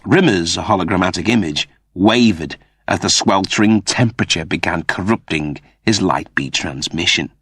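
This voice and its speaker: A man's voice: low-pitched, moderate pace, few pauses, a bit bright, breathy edge, no echo, studio quality. A man reading a story as a narrator, in a dramatic scripted style. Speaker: audiobook narrator.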